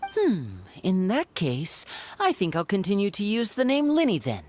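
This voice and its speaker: A woman playing a calm, shrewd young strategist who talks thoughtfully and deliberately in an anime game. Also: medium pitch, slight background noise. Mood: calculating.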